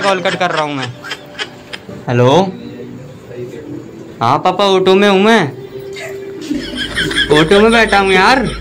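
A young man with a high-pitched voice stages a phone-call prank. He complains and argues in a childlike, whiny way. Music plays behind him in the lift. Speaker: prankster making fake phone calls. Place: inside a crowded metal passenger lift.

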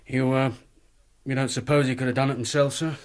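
An actor playing a hesitant, deferential local police officer in a radio drama. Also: male voice, medium pitch, flat delivery, a little fast, natural voice. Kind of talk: BBC radio drama acting.

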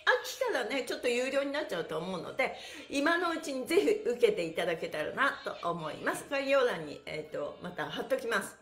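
High, very animated woman in an echoey room. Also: brisk. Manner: animated, chirpy, exclaiming and encouraging, often laughing. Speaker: pantomime and stretching instructor, livestream host. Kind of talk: upbeat livestream exercise class and chat. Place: small bare-walled room indoors. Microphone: device mic a step or two away.

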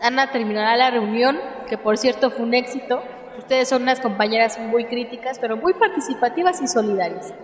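A woman's voice: on the high side, brisk, hardly any pauses, natural voice. A woman playing an earnest female political activist and community leader in a radio drama, speaking in a speech-making way. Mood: grateful, resolute. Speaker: actor in a radio serial. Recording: echoey hall.

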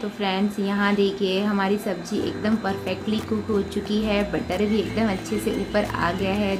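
Indian female home-cook host, mid-pitched instructional recipe narration, prominent music bed underneath. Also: rough recording. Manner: instructional, addresses listeners as friends often. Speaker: home cooking video host. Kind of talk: recipe voice-over, step-by-step instruction. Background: prominent background music.

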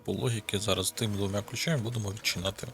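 Low-voiced Ukrainian man commentating gameplay. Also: steady tone, very fast, creaky. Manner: offhand, muttering to himself, casually swearing. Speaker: gaming video host. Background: game music.

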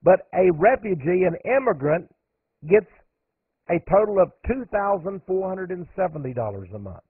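A man's voice: sits high, big pitch swings, moderate pace, slightly rough, no echo. A man hosting a radio monologue, talking emphatically and climbing sharply on the points he stresses. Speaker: radio host. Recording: narrow phone-like radio audio, dry.

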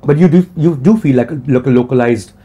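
A man's voice: mid-range voice, fast, dark tone, slightly rough, dull audio. A man, hosting a studio interview and asking teasing follow-up questions. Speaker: interview host. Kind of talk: casual interview chat. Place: studio set with sofas. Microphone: lapel mic.

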